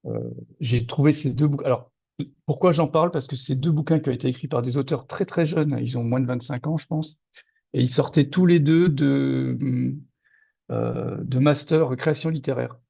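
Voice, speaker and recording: An older man, a native Lorraine Platt speaker from Moselle, talking about books in a hesitant, animated way with many restarts over a video call. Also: mid-range voice, moderate pace, vocal fry, breathy edge. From Moselle-Est, France. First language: Lorraine Franconian (Platt). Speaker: author. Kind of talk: casual literary discussion. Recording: dull lo-fi video call audio.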